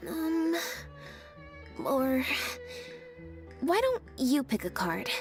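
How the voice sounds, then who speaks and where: Game role: a cool, deadpan young magician's assistant, a touch high, flat and dry. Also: female voice, very slow, soft background music, exaggerated voice. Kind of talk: video game voice acting.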